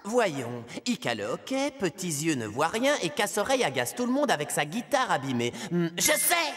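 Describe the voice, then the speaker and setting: Brave little samurai kid for a cartoon, earnest, bouncy up and down delivery. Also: female voice, on the low side, sing-song, moderate pace, faint music behind. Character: plucky young boy, aspiring samurai.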